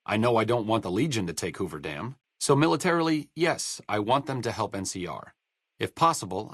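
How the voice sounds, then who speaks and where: Deep-voiced, dry-witted bookish doctor. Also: male voice, fairly bright tone, slightly rough, no room sound. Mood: reflective, serious. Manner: sardonic, articulate, understated. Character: dry-witted, bookish male doctor and researcher, idealistic and self-deprecating. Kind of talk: video game dialogue acting.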